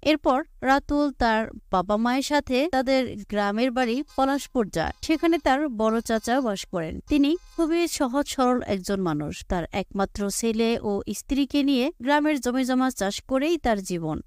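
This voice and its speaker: A woman's voice: on the high side, lively intonation, a little slow, hardly any pauses, darkish tone, smooth, no echo, studio quality. A woman narrating a scripted moral story as the narrator of a cartoon.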